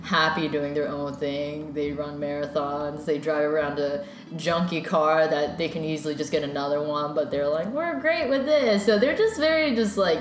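A woman's voice: sits low, moderate pace, hardly any pauses, crowd noise. A young American woman in her twenties, talking casually and chattily in an interview, full of "like" and "you know". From United States. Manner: chatty, filler-heavy, animated when quoting parents. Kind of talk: casual conversation. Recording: echoey room with background noise.

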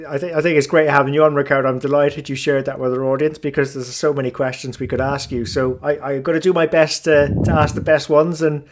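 Podcast host guy, regular mid voice, friendly, bit of um and uh. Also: medium pitch. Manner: friendly, with some fillers. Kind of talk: podcast intro and interviewing.

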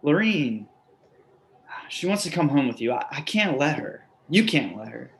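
Man acting an anxious, pleading, high-pitched fellow. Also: very animated, moderate pace, vocal fry, a little hiss or hum. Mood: defensive, anxious. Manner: stammering, pleading. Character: pleading, anxious, jobless man, a needy caretaker. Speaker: actor in a staged play reading. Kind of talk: readers theatre acting.

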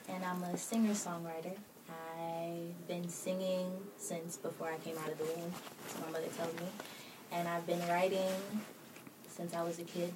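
A young woman from Kenya, a singer-songwriter, telling her story as a podcast guest in relaxed, unhurried chat. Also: fairly low pitch. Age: 20s. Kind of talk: casual interview chat. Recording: distant mic with clear background noise.

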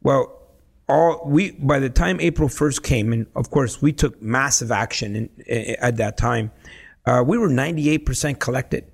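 A man from El Salvador talks real estate as a podcast guest, his voice gravelly and a little husky. He tells stories with energy and leans on "you know" and "right?" as he goes. His pitch sits in the middle for a man. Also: normal speed. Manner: animated storyteller, frequent "you know" and "right?". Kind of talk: casual podcast conversation.

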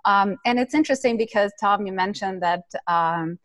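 A woman's voice: mid-range voice, moderate pace, fairly bright tone, dry recording, clean studio sound. A middle-aged woman from Ukraine, a podcast guest telling her life story in a chatty way with many fillers. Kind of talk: casual interview storytelling.

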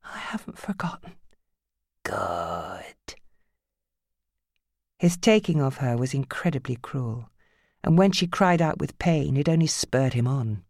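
Woman reading a dark novel, creaky low-key voice, measured and grave, super dry studio sound. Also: no room sound. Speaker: audiobook narrator. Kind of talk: dramatic scripted fiction narration.

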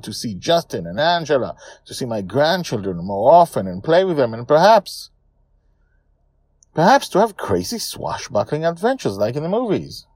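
A man voicing a reflective, wistful old grandfather who tells his dream adventure story in a musing, unhurried way. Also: on the high side, darkish tone, no echo, pitched above the performer's own voice. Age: older. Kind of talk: first-person audio story narration.

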